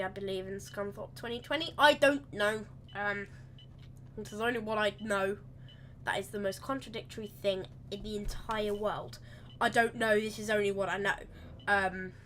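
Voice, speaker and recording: A woman with a slightly croaky voice, rambling chattily through live gameplay commentary and correcting herself as she goes. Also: medium pitch, fairly bright tone, a little hiss or hum. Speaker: game streamer.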